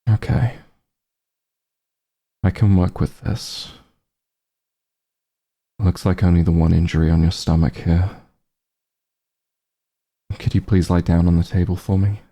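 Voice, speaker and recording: A man playing a calm, caring back-room doctor who gently scolds an old friend in a softly spoken ASMR roleplay, soothing with dry bluntness. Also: heavily acted. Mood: focused, gentle. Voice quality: low, gravelly, husky. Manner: soothing, unhurried bedside reassurance with dry bluntness.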